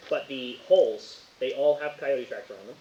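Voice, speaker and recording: A man's voice: mid-range voice, dark tone. Guy running a horror tabletop game, kinda searching for words, muffled with some hiss. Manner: casual, halting, searching for words. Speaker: game master. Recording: roomy, dull, hissy home recording.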